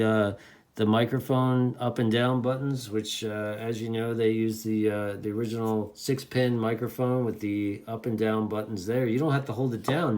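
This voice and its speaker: A man's voice: mid-range voice, darkish tone. A man giving a casual, enthusiastic product demonstration in an informal, folksy way, like a reviewer talking to hobbyists. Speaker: radio reviewer.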